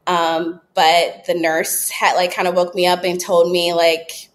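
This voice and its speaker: A woman in her late twenties telling her story as a podcast guest, candid and relaxed, with frequent ums and likes. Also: lowish voice, a little slow. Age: 20s. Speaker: podcast guest, app founder. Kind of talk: casual interview storytelling.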